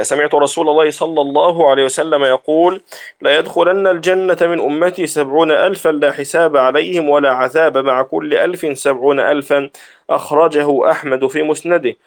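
A man reads a classical scholarly text aloud in a slightly high-pitched voice. His delivery is formal, like a student reciting from a book during a religious lesson.

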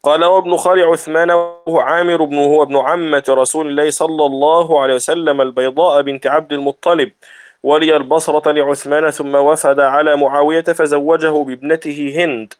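A man's voice: on the high side, little pitch movement, fairly quick, clear, resonant tone. A man reads a classical text aloud as a student in a religious lesson, in a formal reciting manner.

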